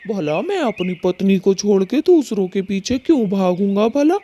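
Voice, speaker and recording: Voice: mid-range voice, sing-song, moderate pace, warm tone. A performer voicing a male cartoon bird with a theatrical, complaining and pleading way of talking. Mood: wounded, pleading. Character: dramatic male cartoon bird, husband or neighbour, plaintive. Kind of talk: Hindi children's cartoon story dialogue.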